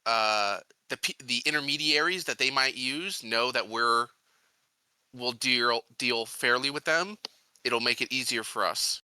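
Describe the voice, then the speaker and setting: A man in casual in-character discussion at a tabletop game, reasoning things out with pauses. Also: mid-range voice, bright, forceful tone, smooth, dry recording. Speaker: tabletop role-playing player.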